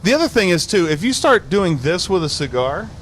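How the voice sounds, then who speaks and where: Man, co-host, easygoing rambling talk, slightly high voice, faint background noise. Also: sing-song, brisk, fairly bright tone. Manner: easygoing, rambling explanations with fillers. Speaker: podcast co-host. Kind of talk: casual chat. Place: bar, live show.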